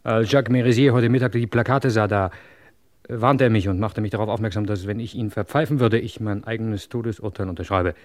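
Man playing a low-voiced, wary criminal informant. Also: steady tone, darkish tone, natural voice. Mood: grave, worried. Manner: measured, polite, hesitant. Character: wary, deliberate male criminal turned police informant. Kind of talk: 1950s-80s German crime radio play acting.

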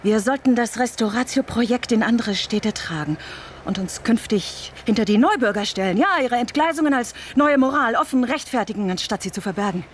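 Woman acting cunning vengeful lady in a radio play, highish voice, taunting and intimate, some background noise. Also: animated, a little fast, natural voice. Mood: scheming, bitter. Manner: taunting, intimate, theatrical, laughing scornfully. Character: vengeful cunning woman restorer, playful and obsessive. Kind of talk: German radio drama acting.

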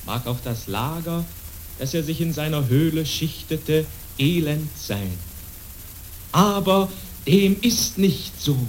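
A man narrating a radio play as a solemn, measured storyteller. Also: fairly high pitch, a little hiss or hum. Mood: grave, intense. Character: solemn male storyteller narrating a monk's legend.

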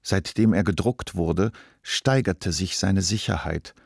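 Low-voiced man narrating. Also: measured pace, vocal fry, a little mellow, breathy edge, no echo, studio quality. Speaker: narrator in a radio play. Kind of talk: scripted literary narration.

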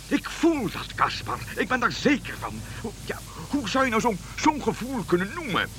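An actor playing a fervent, dreamy old king in a Dutch radio play, excitable, stammering and repeating himself. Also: high-pitched, moderate pace, slight background noise, heavily acted. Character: old Balthasar, fervent dreamy wandering king following a star.

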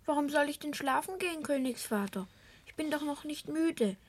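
A woman's voice: high voice, animated, normal speed. An actress playing an innocent, trusting young boy, eager and earnest, in a radio drama. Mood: innocent, pleading. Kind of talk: 1970s German radio drama acting.

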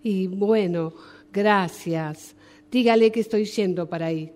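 A woman's voice: medium pitch, unhurried, a little mellow, close to an everyday voice. A woman playing a polite, anxious job applicant apologising by phone in a radio drama. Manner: hesitant, apologetic. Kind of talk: radio drama acting, one side of a phone call.

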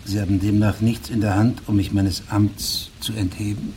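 An actor playing a dogged, formal middle-aged judge in a German radio drama, measured and unyielding. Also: male voice, on the low side, level tone, darkish tone, a little hiss or hum, close to an everyday voice. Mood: coolly formal.

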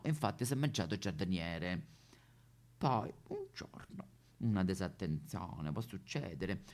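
Lonely, melodramatic Roman woman played by a man, stage comedy monologue, histrionic delivery with extremely animated, swooping pitch. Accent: Roman Italian. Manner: histrionic, repetitive, swinging between whispers and wailing. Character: lonely, melodramatic woman recounting absurd pet disasters. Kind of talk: theatrical comic monologue.

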